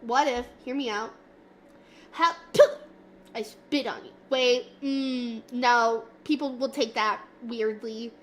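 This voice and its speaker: A woman's voice: fairly high pitch, fairly even intonation, slow pace, a little hiss or hum. Nasal, giggly woman streamer. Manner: playful, giggly, chatty. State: stuffy from a cold. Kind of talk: casual livestream chat with viewers. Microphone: desk mic, moving closer and farther.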